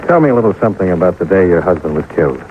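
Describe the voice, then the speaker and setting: Male radio-detective lead: lowish voice, terse and businesslike, on a noisy, narrow-band 1950s broadcast recording. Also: expressive, racing. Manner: clipped, matter-of-fact questioning. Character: businesslike male insurance investigator, terse and persistent. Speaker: lead actor and narrator. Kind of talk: 1950s radio detective drama acting, first-person narration.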